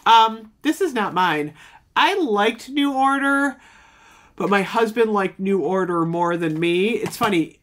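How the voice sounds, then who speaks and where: A woman in her fifties chatting to camera at home, nostalgic and talkative, often exclaiming and filling with "um". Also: medium pitch. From United States. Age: 50s. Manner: chatty and nostalgic, many "oh my gosh" and "um". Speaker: vlogger. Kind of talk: casual chat to camera. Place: small home room with shelves.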